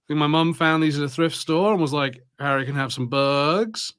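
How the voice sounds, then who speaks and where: A man co-hosting a podcast, chatting casually in a halting, hesitant way. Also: fairly high pitch, fairly bright tone, clear, resonant tone. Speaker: podcast co-host. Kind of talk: casual chat.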